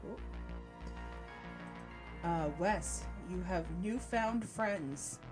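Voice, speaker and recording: A woman's voice: on the low side, very slow. A woman narrating a tabletop game as game master in an informal, giggly manner. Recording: music behind the voice. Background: atmospheric synth music.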